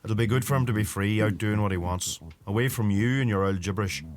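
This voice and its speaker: An actor with a Donegal Irish accent playing a brooding, awkward young farmer in a radio play. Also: male voice, lowish voice, quick pace, natural voice. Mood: guarded, awkward. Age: young adult. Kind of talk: radio play acting with poetic monologue.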